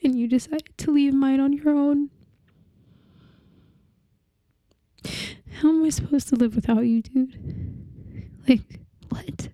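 A young woman playing a grieving partner in a dramatic monologue, with a halting, tearful delivery full of restarts. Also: sits high, steady tone, warm tone, no room sound, muffled recording. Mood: heartbroken.

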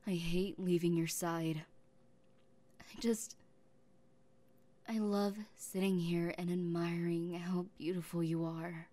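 Woman playing a soft-spoken obsessive captor. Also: fairly low pitch, level tone. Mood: tender. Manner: soft-spoken, halting, drifting into whispers. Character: obsessive lovesick young woman captor, tender and possessive. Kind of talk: audio roleplay.

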